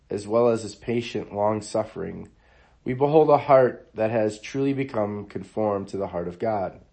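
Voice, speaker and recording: A hoarse man, under the weather, hosting a devotional podcast and reading quotes in an earnest, measured way. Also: mid-range voice, darkish tone. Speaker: podcast host.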